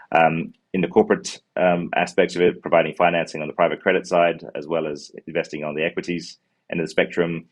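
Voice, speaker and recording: Man, very low voice, quick talker, New Zealand accent, earbud mic on a video call, hesitant ums. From born in Sri Lanka, raised in New Zealand. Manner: many ums and uhs, restarts words while explaining. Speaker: fund co-manager. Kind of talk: investment pitch answering questions. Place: office with glass walls, indoors.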